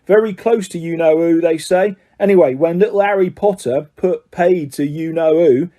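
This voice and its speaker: A man's voice: on the high side, normal speed, dark tone, muffled recording, natural voice. A man voicing an eager, gossipy young male wizard bus conductor in an audiobook. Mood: excited gossip. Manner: eager, gossipy, fond of tag questions. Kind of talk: audiobook character voice.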